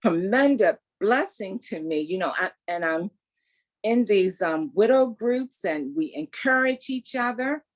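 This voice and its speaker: A woman's voice: medium pitch, expressive, measured pace. A woman in her sixties, a guest telling her story in an emphatic, faith-filled way. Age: 60s. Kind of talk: casual interview, testimony.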